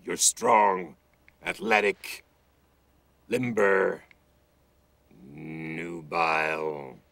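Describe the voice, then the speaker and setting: A man's voice: sits low, vocal fry, a bit bright, no echo, full character voice. An actor voicing a lecherous wooden ventriloquist's dummy in a TV horror comedy, leering and drawing out his words. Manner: leering, drawn-out. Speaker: voice actor in a TV series. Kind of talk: television horror-comedy acting.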